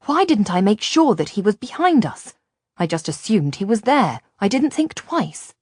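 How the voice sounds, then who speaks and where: A woman's voice: medium pitch, very animated, moderate pace, darkish tone, breathy edge, dry recording, clean studio sound. A woman reading a storybook, voicing a shaken young man who blames himself. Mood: self-reproaching. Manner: self-reproaching, shaky.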